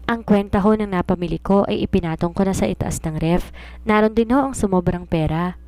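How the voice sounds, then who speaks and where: A woman's voice: medium pitch, fairly quick, mellow, no echo, natural voice. A woman with a Filipino accent reading a polite, eager young woman posing as a housemaid in a storybook. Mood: polite, attentive. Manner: deferential, courteous.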